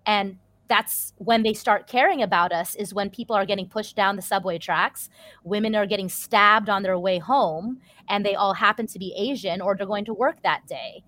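A woman's voice: medium pitch, normal speed, a bit bright. An articulate, assertive woman speaking earnestly in a panel discussion.

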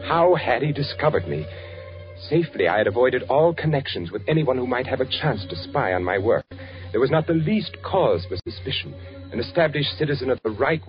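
An actor playing an anxious undercover spy posing as a German architect in a 1940s radio drama, brooding and halting. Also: male voice, mid-range voice, close to an everyday voice. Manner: brooding, halting narration with repeated questions. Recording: dull vintage 1940s radio recording, music behind.

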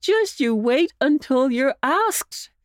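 A cheerful, clever old gentleman rabbit from a storybook, as a woman reader puts him on, raised high above her plain voice and drawn out slowly. He coaxes and jokes in a jolly, lilting tone that swings up and down, recorded close and dry. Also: sing-song, no echo, heavily acted.

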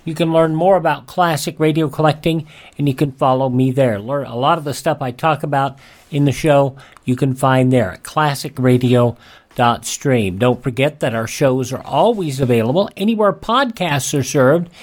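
A man's voice: mid-range voice, moderate pace. A man hosting a radio program, addressing listeners in a scripted sign-off style. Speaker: radio show host.

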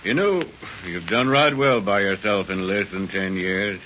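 Humble, folksy frontier wheelwright, forceful bright voice, deferential, vintage radio drama with hiss. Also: male voice, deep voice, a little slow. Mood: modest, agreeable. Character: James Marshall, humble, plain-spoken frontier wheelwright. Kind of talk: 1930s radio acting. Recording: muffled, hissy old radio recording.

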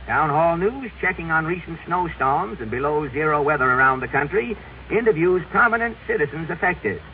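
Distant and tinny on old radio, a portentous news announcer. His high voice swings widely as he declaims clipped, mock-serious headlines. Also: expressive, normal speed, slight background noise. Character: brisk, portentous male newsreel announcer.

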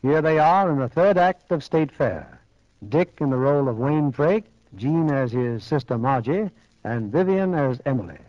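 A man's voice: fairly high pitch, animated, normal speed. A man hosting and narrating a 1940s radio theatre play from a script. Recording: muffled 1940s radio broadcast.

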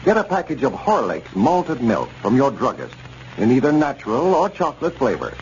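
Animated 1930s American radio announcer, man. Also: medium pitch, moderate pace. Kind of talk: 1930s radio announcing, scripted sponsor narration. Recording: dull, noisy 1930s radio recording.

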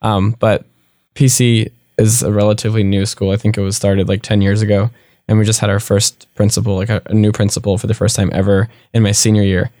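A man's voice: fairly low pitch, little pitch movement, fairly quick, mellow, no room sound, clean studio sound. A young man who grew up in South Florida, a college student guest chatting casually and rambling, with lots of filler words. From South Florida, United States. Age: young adult. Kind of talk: casual conversational interview.

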